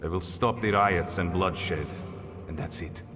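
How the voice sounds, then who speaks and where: An actor playing a proud, demanding and fearful emperor under siege in game dialogue, with an imperious, strained delivery. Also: male voice, low voice, unhurried. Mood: defiant. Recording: long hall reverb, loud music.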